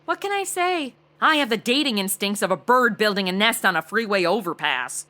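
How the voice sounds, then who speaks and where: A woman's voice: medium pitch, lively intonation, a little fast, pushed and bright. A woman playing a chatty, boy-crazy, ditzy would-be investigator in a comedy audio drama, gushing, emotional and flippant as she overshares.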